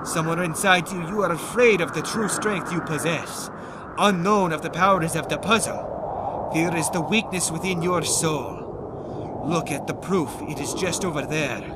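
A man voicing a cold, formal, menacing mystic villain in an amateur anime fan dub, speaking gravely and deliberately. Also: a little high, heavy background noise, close to an everyday voice. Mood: solemn, menacing. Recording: loud music under the voice.

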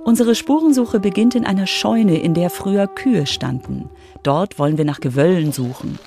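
A woman's voice: fairly low pitch, expressive, normal speed, over music. A woman reporter giving scripted narration for a children's radio story.

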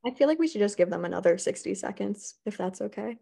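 A woman's voice: medium pitch, lively intonation, fast talker, gravelly, dark tone, slightly rough. A woman presenter chatting casually with her colleagues on a video call. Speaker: conference presenter.

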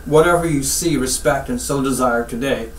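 A middle-aged man from Guyana, a surgeon giving advice in an interview in measured, deliberate short phrases with pauses. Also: mid-range voice. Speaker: spine surgeon, interview guest. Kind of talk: interview answers, health advice. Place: small office, seated at desk. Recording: roomy, distant mic, faint background noise.

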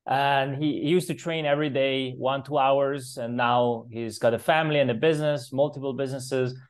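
A young man from Switzerland speaks as a fitness coach guest on a video call podcast. He explains things earnestly and steadily at a mid-range pitch. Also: steady tone, moderate pace, clean tone. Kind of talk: podcast interview answers. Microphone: video call webcam with earphones.

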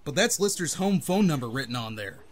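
A man's voice: a little high, very animated, fairly quick, a little hiss or hum. A man playing a streetwise, sardonic police officer in a comic-book audio drama, casual and wry. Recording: loud music under the voice.

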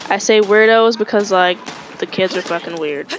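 A woman's voice: mid-range voice, normal speed, a little hiss or hum. Rambling young woman gamer, swearing. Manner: rambling, swears, self-correcting. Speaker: gaming streamer. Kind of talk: casual storytelling over gameplay. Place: home room, webcam. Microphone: headset.

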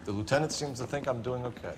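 An actor playing an earnest, upright young 1940s American detective in a video game, speaking in a measured, formal way. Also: male voice, medium pitch, noisy background, lightly acted. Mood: modest, businesslike. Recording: loud music behind the voice.

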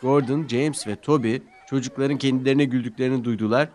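A man narrating a children's cartoon story in a lively storytelling manner. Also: mid-range voice, brisk.

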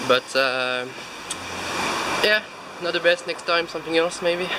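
A man, easygoing and quick to laugh as he films himself outdoors with a phone at arm's length. His voice sits a little high. Traffic and street ambience come through clearly behind him. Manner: chatty, laughs often, loose non-native English. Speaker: vlog host. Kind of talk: casual restaurant review chat. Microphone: phone at arm's length selfie.

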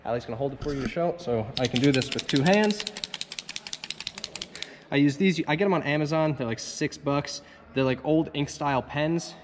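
A man's voice: a little high, moderate pace, noisy background. A man who runs a car detailing and wrap shop, chatting loosely with lots of fillers as he walks viewers through the day's work, moving in and out of doors with a handheld camera. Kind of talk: casual shop vlog walkthrough.

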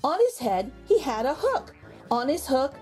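A woman reading a picture book aloud to young children, with expressive, exaggerated intonation and drawn-out words. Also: high-pitched. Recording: background music under the voice. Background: music.

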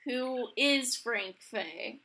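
A woman's voice: fairly high pitch, expressive, extremely slow, sharp tone. A young woman hosting a casual podcast interview, asking questions in an informal, hesitant way. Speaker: podcast host.

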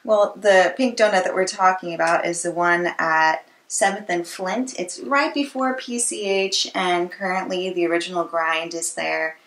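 A woman in her twenties with an American accent hosts a casual interview. Her voice sits a little low for a woman, and the living room gives it a clear echo. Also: normal speed, hardly any pauses, a bit bright. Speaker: interviewer, host. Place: living room with books, indoors. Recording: roomy, echoey living room.

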